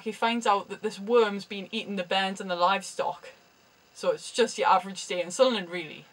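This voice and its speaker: A woman's voice: mid-range voice, rough recording. A young woman from Newcastle with a Geordie accent, a university student explaining her research in a chatty vlog with dry humorous asides. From Newcastle, England.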